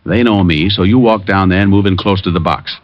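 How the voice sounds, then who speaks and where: An actor playing a cool, wisecracking private detective who also narrates, in an old radio drama, with dry, easygoing quips. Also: male voice, deep voice, a little fast, no room sound, lightly acted. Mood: cocky. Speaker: narrator and lead. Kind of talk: 1950s radio drama acting. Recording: dull old radio broadcast.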